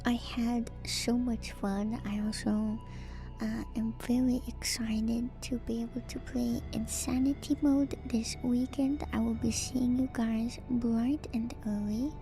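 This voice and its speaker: A woman streamer giving a gentle, soothing ASMR chat in a soft, breathy whisper, close to the microphone. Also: on the high side, steady tone, takes it slowly, over music, poor audio. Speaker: streamer and host. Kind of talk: ASMR livestream sign-off chat.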